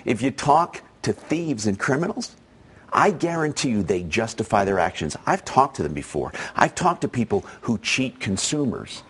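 Husky, animated male host giving commentary. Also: mid-range voice, moderate pace. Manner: emphatic and persuasive, speaking straight to the listener. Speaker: show host. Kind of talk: opinion commentary monologue.

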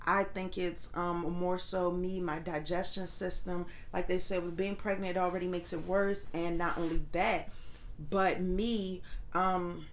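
A woman's voice: on the low side, level tone, a little slow, slight background noise. A young woman vlogging candidly from her living room sofa, her voice congested and a little nasal, rambling with many fillers. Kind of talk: casual pregnancy update.

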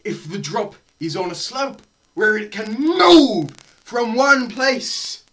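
A young man gives a theatrical lecture reading in a slow, deliberate pace. His pitch leaps around widely and his delivery is melodramatic, moving between hushed murmurs and loud cries. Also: expressive. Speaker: presenter performing a dramatised physics lecture. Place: small plain room indoors.

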